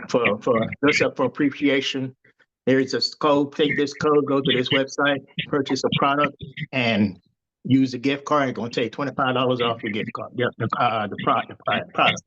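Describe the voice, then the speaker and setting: A man on a group call casually sharing sales tips in a relaxed, rambling way. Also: mid-range voice, dull audio. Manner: relaxed, rambling, repeats words.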